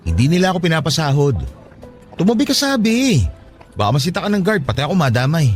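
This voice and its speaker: A man's voice: fairly high pitch, very animated, fast, faint music behind. A man playing a defensive, exasperated poor father with a brusque, argumentative manner in a radio drama. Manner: brusque, argumentative, self-justifying. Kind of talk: radio drama acting in Tagalog.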